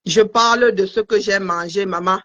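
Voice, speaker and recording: A man's voice: high-pitched, steady tone, moderate pace, sharp tone. A man hosting a live-stream panel, teasing and talking over his guest, trailing off into fillers, on lo-fi phone audio.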